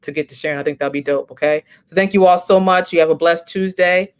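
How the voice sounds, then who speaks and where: A woman's voice: fairly low pitch, steady tone, fast, clean tone. A woman hosting a casual livestream, chatty and encouraging, thinking aloud as she talks. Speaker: host.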